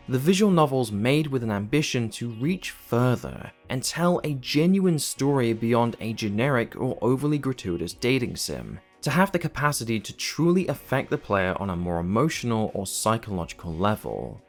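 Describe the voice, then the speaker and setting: A man's voice: medium pitch, sing-song, moderate pace, few pauses, soft background music. A man narrating his own scripted video essay in a wry, self-aware, conversational way. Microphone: voice-over.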